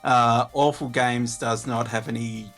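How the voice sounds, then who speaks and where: A man's voice: medium pitch, fairly even intonation, a little slow, a bit bright, faint music behind. A man calling in as a guest, chatting casually. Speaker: call-in guest. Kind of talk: casual chat.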